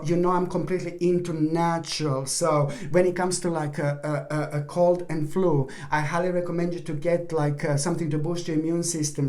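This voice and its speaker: A woman with a voice giving a rambling tarot horoscope reading, often restarting and apologizing, while losing her voice and clearing her throat. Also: sits low, warm tone. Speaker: tarot reader.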